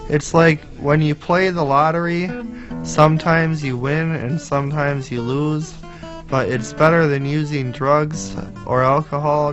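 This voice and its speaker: A man in a documentary interview, talking in a slow, rambling, earnest way. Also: medium pitch. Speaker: film subject. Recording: muffled film audio with guitar music.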